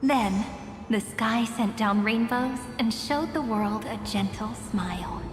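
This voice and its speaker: A woman playing a gentle, wistful young storyteller in a fantasy game, speaking in a tender, reflective way. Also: a little high, slow. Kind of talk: dramatic fantasy game dialogue. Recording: echoey long reverb over music.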